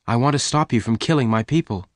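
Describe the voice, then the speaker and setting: A man voicing a gentle, pleading young woman, his voice lifted higher. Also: fast talker, no echo, studio quality, close to an everyday voice. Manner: raised, pleading voice.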